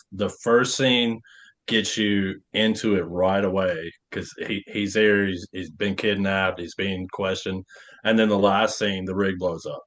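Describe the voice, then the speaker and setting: Man, fairly low voice, actor in informal discussion, deliberate delivery. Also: fairly bright tone. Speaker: actor at a table read. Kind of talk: casual group discussion.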